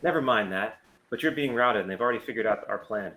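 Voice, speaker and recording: A man's voice: lowish voice, fast talker, pushed and bright, rough recording. A man playing an urgent, wary starship captain and agent in an amateur sci-fi table read, with clipped delivery. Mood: tense.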